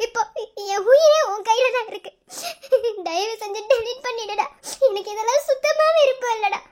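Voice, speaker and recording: A woman's voice: extremely high pitch, moderate pace, a little mellow. A woman playing a distressed young student in a melodrama, tearful and imploring as she begs her tormentor. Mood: indignant, pleading. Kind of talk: melodramatic Tamil audio drama acting.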